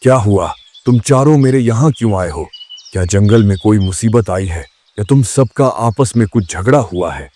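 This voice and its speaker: A man plays a stern cartoon lion king who questions with authority. His voice is fairly low, dark and mellow. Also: expressive, moderate pace, a little hiss or hum, natural voice. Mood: questioning. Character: stern male lion king judging jungle animals. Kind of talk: Hindi cartoon dubbing.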